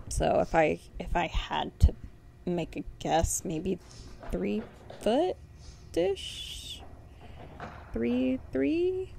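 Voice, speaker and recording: A woman giving chatty, affectionate, casual vlog commentary as a horse rider. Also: lowish voice. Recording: clear background noise.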